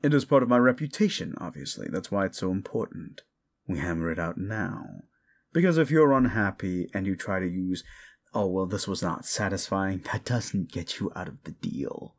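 A man's voice: sits low, expressive. A man voicing a condescending, seductive demon deal-maker in an audio roleplay, speaking in a theatrical, mocking drawl. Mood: scornful, exasperated. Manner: slow, condescending, theatrical drawl with amused chuckles. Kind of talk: scripted audio roleplay addressed to the listener.

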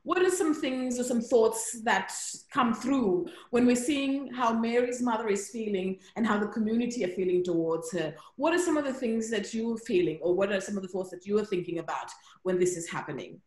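A woman's voice: fairly high pitch, lively intonation, normal speed, a little mellow. Female workshop facilitator, explanatory and encouraging, recorded on a video call in an echoey room. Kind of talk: informal spoken workshop facilitation.

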